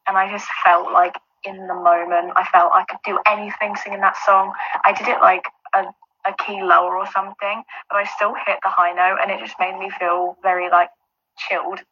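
A woman who is a finalist guest with a low alto voice, chatting confidently. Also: muffled recording, noisy background. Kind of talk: casual chat.

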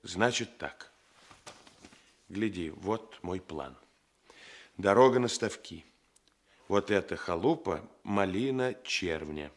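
A man's voice: deep voice, lively intonation, slow, pauses often, exaggerated voice. An actor playing a seasoned older police detective in a radio play, homely, blunt and fatherly in manner. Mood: conspiratorial, instructive.

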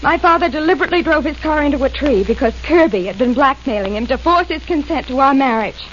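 A woman's voice: high-pitched, expressive, fast. An actress in 1940s radio acting, playing a cunning young woman who avenges her father, cool and cutting. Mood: triumphant. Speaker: femme fatale character. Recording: dull old radio broadcast with faint hiss.

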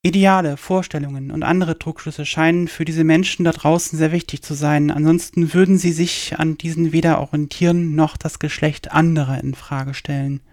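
A man delivering a scripted personal monologue in a radio play, with calm, measured, declarative statements. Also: a little high, no room sound, clean studio sound.

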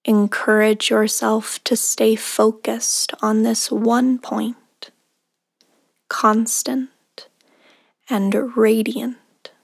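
A woman guiding a meditation in a soothing, unhurried manner, leaving long pauses between short phrases, with soft, breathy endings. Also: a little high, level tone, dry recording, clean studio sound. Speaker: meditation guide. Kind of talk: guided meditation narration.

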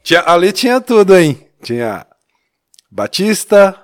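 A man's voice: high-pitched, very animated, a little slow. A man from Minas Gerais with a Mineiro accent, telling his story casually as a podcast guest, laughing often and tagging lines with "né?". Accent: Mineiro Brazilian Portuguese. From Minas Gerais, Brazil. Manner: chatty, laughs often, many "né?" tags. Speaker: church youth leader, podcast guest.

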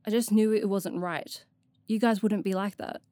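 A woman's voice: mid-range voice, fairly even intonation, moderate pace, warm tone, dry recording, clean studio sound, close to an everyday voice. A woman playing an anxious but determined, self-doubting young adventurer in an audio drama, speaking in a hesitant, plain way. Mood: quiet relief.

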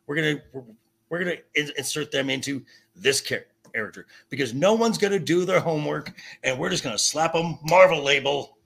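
Forceful, husky man arguing with stammering emphasis. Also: on the high side, expressive, fairly quick. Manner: emphatic, indignant, stammering repetition. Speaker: podcast guest. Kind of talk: heated debate about comic adaptations.

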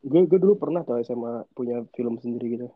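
Young guy w jakarta accent, teasing slangy podcast banter, normal pitch, bit dull lofi sound. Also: fast talker, no room sound. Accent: Jakarta Indonesian. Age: young adult. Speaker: podcast co-host. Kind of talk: casual bantering chat.

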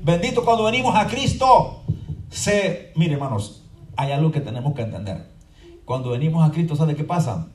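A man preaching a fervent sermon as pastor. His voice sits high and rings through a reverberant room, heard from some distance off the mic. A faint murmur of people hums behind him. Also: expressive, normal speed. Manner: fervent preaching that swings between quiet explaining and shouted proclamation; often asks the congregation "¿verdad?". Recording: echoey room from far off with faint crowd noise.

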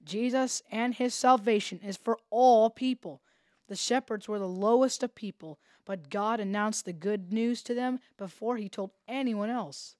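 A woman's voice: on the high side, a little slow, a little mellow, no echo, studio quality. A woman hosting a children's podcast, narrating and discussing a Bible story. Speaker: podcast host.

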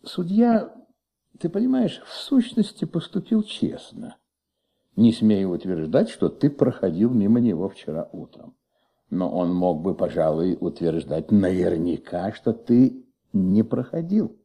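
Middle-aged police commissaire, dark mellow tone, gravelly creak, patient, shrewd, fatherly coaxing, Soviet radio play. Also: sits low, big pitch swings, measured pace, heavily acted. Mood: earnest, explaining. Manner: gentle, coaxing, paternal questioning. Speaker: radio drama actor playing a police commissaire.

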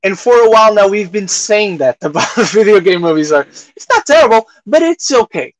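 A man's voice: sits high, expressive, a little fast, no room sound, low-quality recording. A man co-hosting a podcast, casually discussing movies in an opinionated, emphatic way. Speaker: podcast co-host.

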